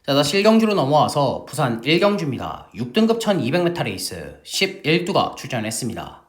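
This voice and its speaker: Lively, emphatic man tipping horse races. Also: fairly high pitch, brisk. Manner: lively, emphatic salesman-like delivery, addresses viewers as family. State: recovering from a sore throat. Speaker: horse racing tipster host. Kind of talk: energetic betting-tips broadcast.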